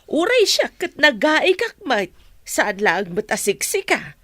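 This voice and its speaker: Filipino mom in a radio drama, defensive, very up n down voice, dry studio. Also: female voice, high-pitched, sing-song, measured pace, bright, forceful tone, no echo, heavily acted. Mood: reproving. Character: defensive, protective adoptive mother. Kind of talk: Ilocano radio drama acting.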